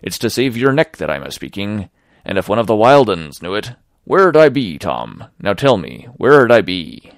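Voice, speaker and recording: A man voicing a crafty, wheedling old male pirate cook who speaks in rough sailor's dialect. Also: lowish voice, sing-song, normal speed, no echo, studio quality. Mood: urgent coaxing.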